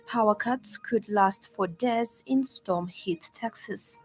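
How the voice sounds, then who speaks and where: A female newsreader with a Zambian accent and a mid-pitched voice. She delivers a formal scripted bulletin with music underneath. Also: slow. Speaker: news reader. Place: radio studio.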